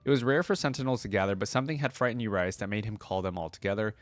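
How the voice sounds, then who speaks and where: Low-voiced man, dry close sound. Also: very fast, dry recording. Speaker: reaction video host. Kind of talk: reading a story aloud and casual fan commentary.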